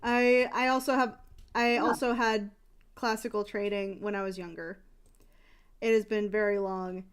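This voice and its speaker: Young woman streamer, casual rambling chat, smooth clear voice, mid pitch. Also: fairly even intonation, moderate pace. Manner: rambling, restarts, candid.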